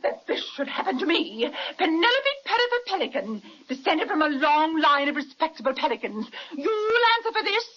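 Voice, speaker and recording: Huffy, haughty, high-pitched lady pelican. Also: big pitch swings, moderate pace, few pauses, a bit bright. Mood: huffy indignation. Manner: pompous, huffy, sputtering. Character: haughty, indignant blue-blooded female pelican. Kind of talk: old-time children's radio serial acting. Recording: dull, band-limited old radio recording.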